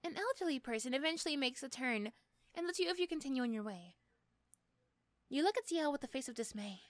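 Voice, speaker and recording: High-voiced woman narrator, very dry sound. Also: lively intonation, a little fast, dry recording. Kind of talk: scripted second-person story narration.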